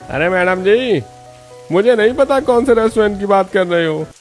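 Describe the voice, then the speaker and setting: Guy doing a helpful cartoon street dude, high voice, drags words out, music and rain behind. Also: lively intonation, moderate pace. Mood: puzzled, helpful. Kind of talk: Hindi cartoon dubbing.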